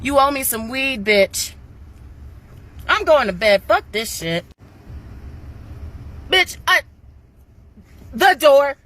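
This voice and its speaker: A loud, indignant woman in a casual, angry rant full of swearing. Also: high-pitched, very animated, takes it slowly, pauses often, slight background noise.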